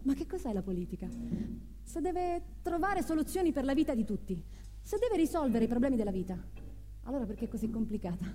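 An actress playing an earnest, homely activist mother in a theatrical confessional monologue, pensive and halting, repeating her phrases. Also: sits high, very animated, dark tone. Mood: exasperated, questioning. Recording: reverberant hall, music behind.

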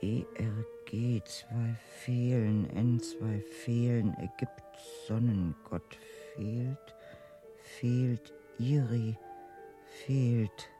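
An actress playing a weary, stubborn housewife who nurses a sick husband in a radio drama, speaking in a halting, reciting way. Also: female voice, extremely low pitch, steady tone, exaggerated voice. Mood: pedantic, stubborn. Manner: slow, halting recitation, reciting chores and puzzle words to herself. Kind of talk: German radio drama acting. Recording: studio radio play with music underneath.

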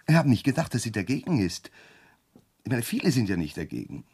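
A shifty male suspect in a 1970s radio crime play. His rough, creaky voice swings wildly up and down. He whines, dodges and justifies himself defensively. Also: medium pitch, sing-song, a little fast, darkish tone. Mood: sullen self-justifying. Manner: evasive, self-justifying. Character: shifty, defensive, whining male petty sex offender under questioning. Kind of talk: 1970s German radio crime drama acting.

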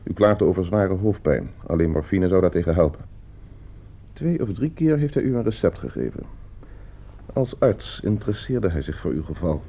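An actor playing a coldly scheming gentleman heir in a radio play, suave, calculating and condescending. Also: sits low, expressive, normal speed, husky, full character voice. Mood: coolly probing. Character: coldly scheming, broke gentleman heir plotting his uncle's murder. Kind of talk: Dutch radio play acting. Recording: muffled old radio play recording.

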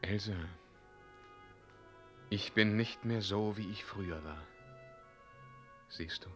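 An actor playing a bitter, weary heroic knight in a classic German radio play, theatrical, moving between hushed and thundering delivery. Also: male voice, on the low side, slow, faint music behind. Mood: grave, tender.

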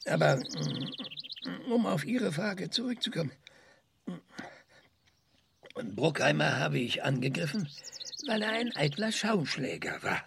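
A man's voice: fairly high pitch, sing-song, slow pace, vocal fry, long pauses, fairly bright tone, a little hiss or hum, exaggerated voice. An actor in a radio drama playing an ironic, cranky male scientist, hesitant and musing with dry sarcasm. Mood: wry, musing. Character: cranky, ironic maverick male scientist, passionate about research.

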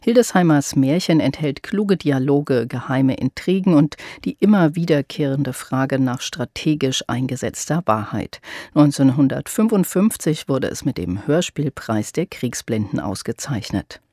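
A man's voice: medium pitch, animated, measured pace, hardly any pauses, dark tone, no echo, studio quality. A man reading a scripted introduction as a radio presenter.

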